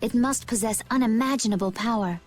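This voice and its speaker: A woman's voice: on the high side, fairly quick, soft background music, close to an everyday voice. A woman voicing a composed, thoughtful young woman in a video game. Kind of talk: English video game voice acting.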